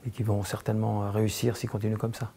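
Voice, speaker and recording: A man with a very gravelly, dark voice, answering interview questions at an easy pace. He hesitates and restarts his sentences often. There is a faint hiss of noise behind him. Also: on the low side, level tone, quick pace. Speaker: staff member speaking about trainees. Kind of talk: documentary interview.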